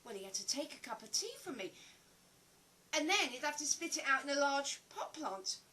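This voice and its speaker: Woman acting an earnest, bright-voiced survivor. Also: high-pitched, sing-song, normal speed, exaggerated voice. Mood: animated, reminiscing. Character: earnest, philosophical woman survivor, a former stage actress. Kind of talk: audio play acting.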